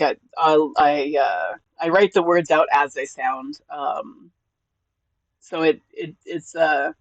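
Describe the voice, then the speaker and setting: High-voiced streamer, halting and easygoing. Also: male voice, dry recording, low-quality recording. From born in California, raised in New Mexico. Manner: halting, many repeated words and restarts; laughs often, easygoing. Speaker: livestreamer reading chat. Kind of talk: casual live stream chat.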